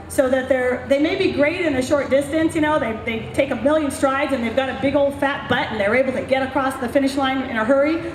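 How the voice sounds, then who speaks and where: A woman's voice: sits high, reverberant, a little hiss or hum, low-quality recording. A middle-aged woman veterinarian explaining points to an audience at an outdoor clinic, speaking into a handheld mic. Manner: explanatory, emphatic teaching with gestures. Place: outdoor paddock at a racetrack.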